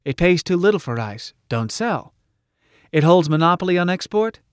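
A man's voice: high voice, big pitch swings, moderate pace, clean tone, no room sound, clean studio sound, pitched above the performer's own voice. A man voicing a fiery woman revolutionary making a rousing speech in emphatic, clipped slogans, for an audiobook story. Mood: rousing, urgent. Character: Wyoming, fiery rousing female revolutionary orator at a rally.

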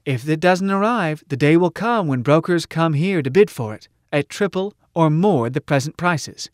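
Man playing a fiery female revolutionary orator, pitched up. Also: animated, moderate pace, no echo, clean studio sound, natural voice. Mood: rousing, urgent. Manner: emphatic, clipped slogans.

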